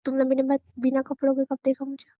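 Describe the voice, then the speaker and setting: A woman's voice: high voice, monotone, fairly quick. A young woman chatting casually as a girlfriend, coy and hesitant, with playful protests. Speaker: girlfriend in a couple's conversation. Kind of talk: casual intimate couple chat in Hindi. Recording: dull, lo-fi call-style recording.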